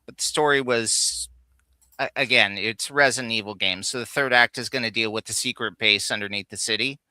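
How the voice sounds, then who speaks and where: Guy co-hosting a stream, super bright clean voice, dry sound, explains stuff casually. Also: medium pitch, fairly quick, clean tone, dry recording. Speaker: co-host of a live gaming countdown show. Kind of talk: casual livestream chat. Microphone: streaming call microphone.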